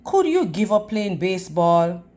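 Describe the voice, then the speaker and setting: A woman's voice: fairly low pitch, big pitch swings, a little slow, darkish tone. A woman voicing a stubborn, touchy male leprechaun from a storybook, with quaint, firm speech. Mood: resigned.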